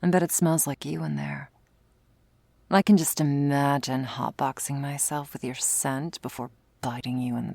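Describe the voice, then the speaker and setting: A woman playing a sardonic, predatory vampire with a secret wistful side, in an intimate close-miked ASMR roleplay, wry and teasing, sometimes slipping into a breathy whisper. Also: very deep voice, mellow, dry recording, full character voice. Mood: wry, hungry. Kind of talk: intimate ASMR roleplay, scripted monologue to listener. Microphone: close, dry studio mic.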